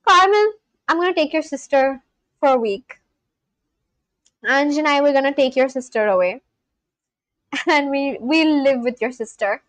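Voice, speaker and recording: A woman's voice: high-pitched, lively intonation, measured pace, pauses often, no room sound. A woman from India with an Indian accent hosting a live stream, chatting casually with viewers, laughing often and talking straight to her chat. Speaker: livestream host.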